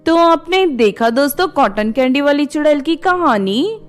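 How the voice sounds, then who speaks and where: A woman's voice: high voice, expressive, a little slow, soft background music. A woman reading a scripted children's story as its narrator.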